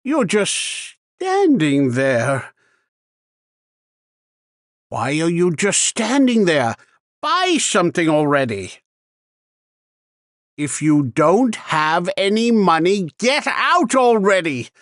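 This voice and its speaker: An actor voicing a chatty, wry male shopkeeper in a video game, with a theatrical, deliberate sales patter. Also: high-pitched, sing-song, fairly bright tone, no echo, clean studio sound, heavily acted. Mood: annoyed, impatient. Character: chatty male shopkeeper, wry, easily annoyed arms trader. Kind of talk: scripted video game character lines.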